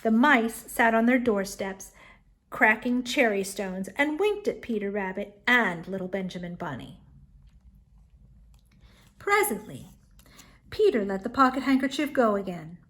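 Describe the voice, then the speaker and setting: A woman storyteller reading a children's tale aloud expressively, with dramatic pauses and emphasis. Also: fairly high pitch, animated, a bit bright.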